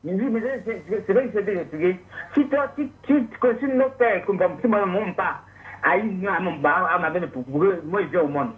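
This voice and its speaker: A man argues religion over a narrow, dull phone line. He speaks haltingly, repeating words several times before he finishes a thought. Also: high voice. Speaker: phone caller. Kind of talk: religious debate.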